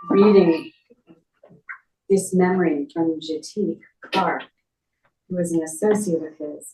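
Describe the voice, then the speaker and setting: Low-voiced woman speaking in an echoey room. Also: darkish tone. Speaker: memorial speaker, relative of the family. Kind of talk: reading a written tribute aloud. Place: echoey indoor memorial gathering. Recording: echoey room, distant microphone.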